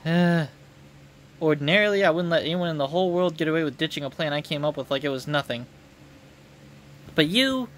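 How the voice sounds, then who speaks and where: A man's voice: on the high side, expressive, fairly quick, pauses often, a bit bright, slight background noise. A man voicing a bossy, indignant young warrior queen from a video game, with emphatic, theatrical delivery. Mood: flustered. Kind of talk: video game dialogue read aloud.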